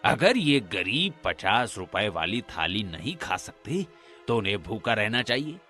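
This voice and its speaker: Bright and slow-paced, this is a pompous, scheming village priest and moneylender from a cartoon, acted by a man. He is condescending and swings his pitch in a lively way, with music underneath. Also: full character voice. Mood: scornful, indignant. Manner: pompous, condescending, tags questions onto sentences. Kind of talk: acted Hindi cartoon dialogue.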